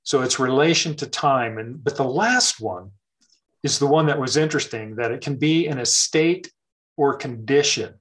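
A man's voice: medium pitch, normal speed, a bit bright. A middle-aged man talking casually about faith and scripture, thoughtful, with many fillers and restarts.

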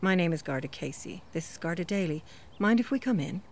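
A woman's voice: fairly low pitch, animated, brisk, darkish tone. An actress playing a probing Irish policewoman in an audio drama. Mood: businesslike. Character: probing Irish policewoman, businesslike then teasing.

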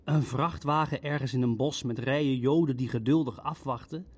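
An actor playing an orderly, sceptical Nazi bureaucrat in a radio play, with a measured, matter-of-fact manner. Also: male voice, medium pitch, lightly acted. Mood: sceptical, clinical. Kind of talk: Dutch radio play dialogue.

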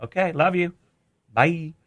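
A man's voice: on the high side, a little slow, fairly bright tone, no echo, clean studio sound. An American man hosting a morning radio show, bantering casually live with callers. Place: radio studio.

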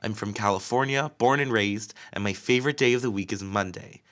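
Upbeat California musician for a children's story, played by a man; forceful, slightly low. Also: fairly quick, close to an everyday voice. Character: upbeat Californian guitarist and cabaret singer.

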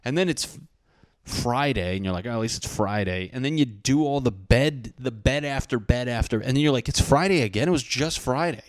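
A man's voice: mid-range voice, fairly quick, no echo, clean studio sound. A man in his thirties hosting a podcast alone, rambling casually with lots of swearing and self-deprecating jokes. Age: 30s. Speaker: podcast host. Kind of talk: casual solo podcast monologue.

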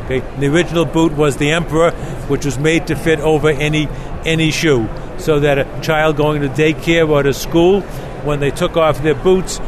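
A man with a heavy Boston accent explains a children's boot in a folksy way, stumbling over repeated words. His pitch runs a little high, and clear noise surrounds him on a trade-show floor. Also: fairly even intonation, normal speed, few pauses. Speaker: children's boot company representative.

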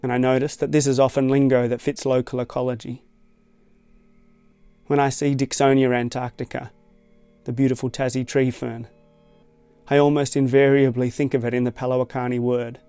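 A man from Tasmania with an Australian accent, reading a reflective scripted essay in a measured, thoughtful way. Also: mid-range voice, clean tone. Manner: measured, thoughtful, even-toned. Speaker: essay narrator.

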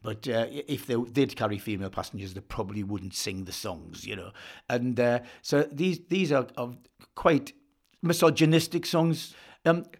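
A man's voice: mid-range voice, moderate pace, vocal fry. An older man, reminiscing in an interview and often repeating words as he tells his stories. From Northeast England. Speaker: folk singer of sea shanties.

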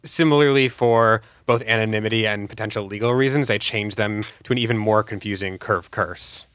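A man's voice: lowish voice, a little fast, a bit bright, clear, resonant tone, no echo, clean studio sound. A man speaking conversationally as a guest playwright in a radio interview.

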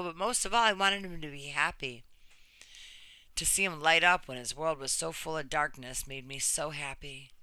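A woman in her thirties reading a scripted first-person personal story in an earnest, deliberate way with emphatic phrasing. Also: low-pitched, bright, forceful tone, clear, resonant tone, dry recording. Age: 30s.

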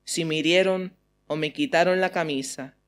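Male reader, high pitch, steady deliberate poetry recitation. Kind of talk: poetry reading.

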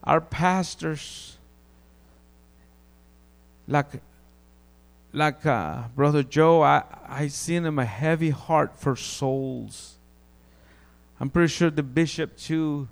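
A man from Guatemala with a Guatemalan Spanish accent, giving an informal testimony sermon, halting and repeating words as he goes. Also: a little high, gravelly. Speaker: preacher.

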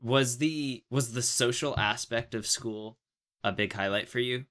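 A young man interviewing someone casually, feeling his way through questions in a tentative, halting manner. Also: mid-range voice, fairly bright tone. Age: young adult.

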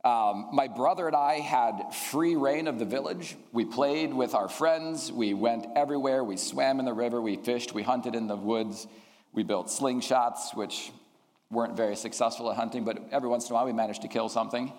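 A man's voice: mid-range voice, normal speed, darkish tone. A man in his fifties, a teacher raised in Indonesia, giving an earnest, reflective talk to a school community with frequent ums. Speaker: math teacher. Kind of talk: chapel talk to students. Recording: long hall reverb.

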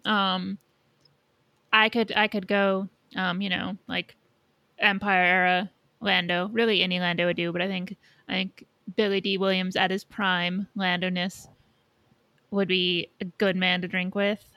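A woman's voice: fairly low pitch, flat delivery, measured pace, sharp tone, no echo. A woman in casual podcast conversation, informally thinking aloud as a co-host. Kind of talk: casual fan podcast chat.

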